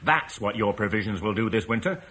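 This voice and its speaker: A man's voice: fairly low pitch, lively intonation, brisk, fairly bright tone, slight background noise. A man playing a shrewd British war leader in a radio drama, firm and businesslike. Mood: stern bargaining. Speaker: military commander.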